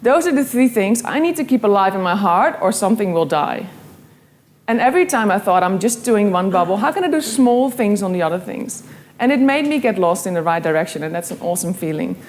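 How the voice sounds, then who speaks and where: A woman's voice: medium pitch, expressive, brisk, a little mellow, slight background noise. A young woman from the Netherlands with a Dutch accent, giving an earnest, story-driven motivational talk to an audience. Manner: earnest, storytelling, quotes her own thoughts.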